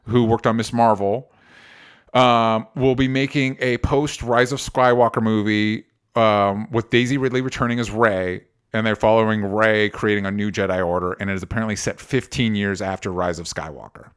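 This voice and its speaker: A man co-hosting a movie news podcast, talking informally and thinking out loud as he shares opinions. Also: lowish voice, fairly even intonation, moderate pace, clear, resonant tone. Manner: informal, rambling, conversational with swearing. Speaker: co-host of a film talk podcast.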